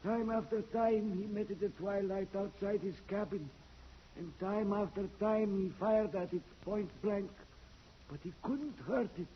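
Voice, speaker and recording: An actor playing a superstitious old game warden in a radio play, telling things with earnest emphasis. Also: male voice, high voice, unhurried, a little hiss or hum. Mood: eerie storytelling. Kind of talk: old-time radio drama acting. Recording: muffled old radio recording.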